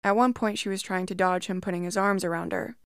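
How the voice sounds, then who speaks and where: Calm woman narrating a creepy story. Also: lowish voice, fast, gravelly, smooth, dry recording, studio quality. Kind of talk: reading a first-person creepy story.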